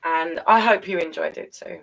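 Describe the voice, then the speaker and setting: A woman's voice: lowish voice, normal speed, vocal fry, low-quality recording. A woman reading a children's picture book aloud expressively, with chatty asides straight to young listeners. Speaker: children's storyteller.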